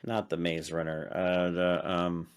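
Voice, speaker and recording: A man from Illinois hosting a casual interview, talking in a halting way with many fillers and restarts. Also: sits low, little pitch movement, clear, resonant tone. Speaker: interview host.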